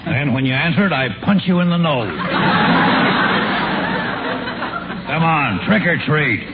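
A man playing a brazen, childish grown man in an old radio comedy, blunt and deadpan. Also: a little high, a little fast, over a noisy crowd. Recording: tinny, very noisy old radio broadcast.

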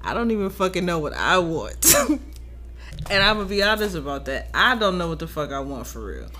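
A woman's voice: fairly low pitch, very animated, moderate pace, bright, forceful tone. An American woman co-hosting a podcast, chatting casually in a blunt, informal, profane way. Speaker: podcast co-host. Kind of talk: casual chat.

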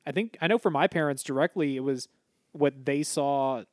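A man's voice: medium pitch, moderate pace, dry recording, clean studio sound. A young man co-hosting a casual podcast, telling rambling stories and swearing casually. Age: young adult. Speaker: podcast co-host. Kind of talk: casual chat.

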